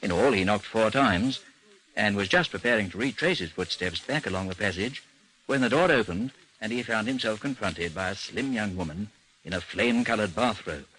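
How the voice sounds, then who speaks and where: Guy acting a dry bureau chief from an old radio serial, fairly low, very proper and understated. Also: fairly bright tone, natural voice, low-quality recording. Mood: measured narrating. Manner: measured, formal, understated. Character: composed, dry British chief of a missing persons bureau, narrating his case. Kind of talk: old-time radio drama acting and narration.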